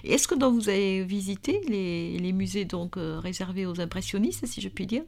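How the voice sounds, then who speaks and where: A woman interviews a guest in a conversational way. Her pitch is average for a woman. She prompts often, rewording her questions and supplying words as she goes. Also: normal speed, creaky, a little mellow.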